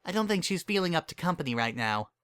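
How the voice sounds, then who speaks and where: A man's voice: a little high, very animated, fast talker, a bit bright, smooth, pitched above the performer's own voice. A man voicing a protective, no-nonsense mare shopkeeper in a pony story, with a scolding, sceptical manner. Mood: subdued. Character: protective, no-nonsense yellow mare minding candy shop.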